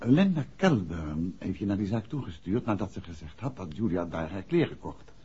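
A man's voice: deep voice, big pitch swings, moderate pace, dark tone. An actor playing a protective, probing detective husband in a 1960s radio drama, with a decisive, fatherly manner. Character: married male detective, protective, probing and authoritative. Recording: dull, noisy 1960s radio recording.

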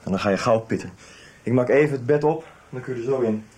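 Radio soap role for a man: laid-back host at home, practical, mid-pitched, faint noise around him. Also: quick pace, a little mellow, lightly acted. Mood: easygoing, practical. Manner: casual, matter-of-fact. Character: easygoing, hospitable man living in Berlin. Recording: background noise, room ambience.

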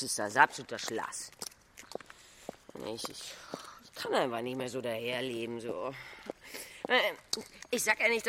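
An actress playing a restless, world-weary young Parisian courtesan in a German radio play, halting and emphatic, moving between murmurs and outbursts. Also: female voice, sits low, noisy background.

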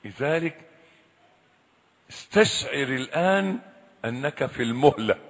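A man preaching a sermon, swinging between loud cries and hushed, low warnings. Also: expressive, a little slow. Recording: echoey hall with long reverb.

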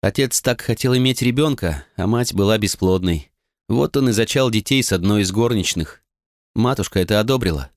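A man's voice: lowish voice, a little fast, no room sound, clean studio sound, natural voice. A man voicing a bitter, betrayed young noblewoman in an audiobook, talking in an earnest, rueful way. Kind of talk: audiobook character reading.

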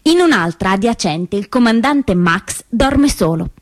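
A woman performing scripted theatrical storytelling, with dramatic, emphatic and deliberate phrasing that moves between hushed and declamatory. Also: mid-range voice, lively intonation, clean studio sound. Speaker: solo theatre performer and narrator. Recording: roomy stage sound, faint music behind.

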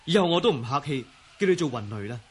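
A man acting a sincere, courteous young martial arts gentleman in a Cantonese radio drama, earnest in delivery. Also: a little high, big pitch swings, quick pace, slightly rough. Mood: fond, courteous.

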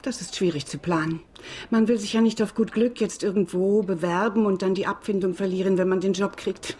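An actress playing a friendly, matter-of-fact office colleague in a naturalistic radio drama. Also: female voice, mid-range voice, fairly even intonation, fairly quick, darkish tone, a little hiss or hum, natural voice.

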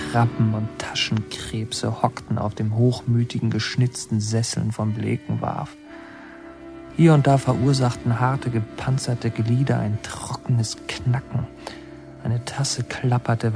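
An actor playing a brooding young man who narrates his memories in a reflective, deliberate, literary radio drama style. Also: mid-range voice, level tone. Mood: dreamlike, intense. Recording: prominent music under the voice. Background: music.

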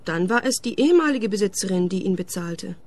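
A woman's voice: mid-range voice, expressive, fairly quick, a little mellow, faint music behind. A blunt young woman tenant. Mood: defensive. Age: young adult. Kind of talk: radio play acting.

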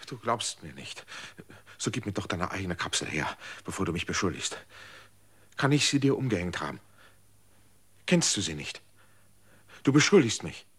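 An actor playing an anxious former rebel who pleads and asks searching questions in a radio drama. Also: male voice, low voice, big pitch swings, moderate pace, pauses often, fairly bright tone, breathy edge, full character voice. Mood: pleading.

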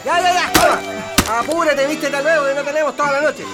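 A man's voice: extremely high pitch, normal speed. A Chilean man playing a harsh, bullying military officer in a radio drama, barking curt and impatient orders. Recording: radio drama with music behind.

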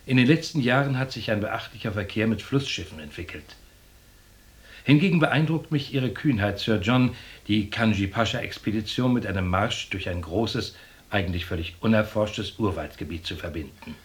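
An actor playing a courteous, cautious educated gentleman, speaking formally and in measured phrases in a German radio drama. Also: lowish voice, animated, lightly acted. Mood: polite, impressed.

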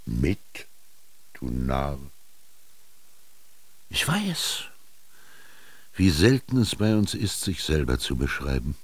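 Very deep, brooding ancient Egyptian scribe. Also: male voice, sing-song, husky, dry recording, heavily acted. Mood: gruff, brooding. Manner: deliberate, declaiming, theatrical, swinging between murmur and proclamation. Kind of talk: literary radio drama monologue.

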